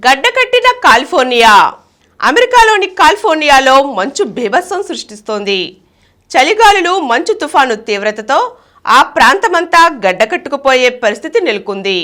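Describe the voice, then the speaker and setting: A woman's voice: high voice, big pitch swings, normal speed, fairly bright tone. A woman reading scripted news items as a news reader.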